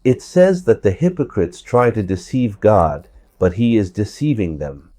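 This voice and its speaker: A man with a hoarse voice from a cold, giving verse-by-verse scripture commentary and repeating points for emphasis. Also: lowish voice, animated, measured pace, mellow, no echo. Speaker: religious commentator.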